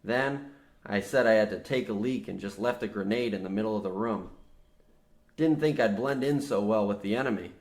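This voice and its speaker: A man's voice: lowish voice, lightly acted. A man playing a younger coal miner, earnest, desperate and guilt-ridden, in an old-time radio comedy. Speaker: radio play actor.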